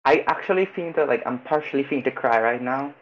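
A man's voice: mid-range voice, quick pace, highs cut off. A man with a Trinidadian accent who talks insistently and repeats himself in a casual podcast chat. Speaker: podcast co-host.

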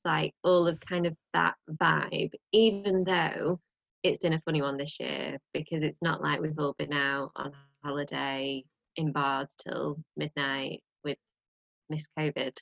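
A young British woman chatting as a podcast co-host, her voice low and gravelly with a slight cold croak. She rambles along with plenty of fillers, recorded close and dry with no room sound. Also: flat delivery, unhurried, no echo, dull audio. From Britain. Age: young adult. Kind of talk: casual chat.

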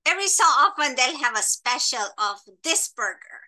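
A woman's voice: sits high, big pitch swings, moderate pace, sharp tone. A middle-aged woman from Kenya and Tanzania, telling stories and giving advice emphatically as an interview guest. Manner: emphatic, instructive, checks in with "right?". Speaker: podcast guest, entrepreneur. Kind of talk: conversational storytelling and advice.

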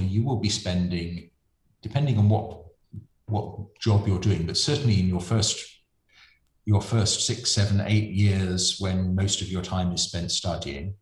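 Deep-voiced male preacher, hesitant and thoughtful, echoey room sound. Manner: hesitant, many ums and self-restarts, thoughtful. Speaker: friar and preacher. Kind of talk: reflective interview conversation.

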